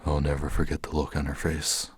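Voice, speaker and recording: An actor playing a weary, bitter officer who narrates an animated drama in a brooding, scripted noir manner. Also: male voice, extremely low pitch, a little fast, breathy edge, full character voice. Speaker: narrator and main character.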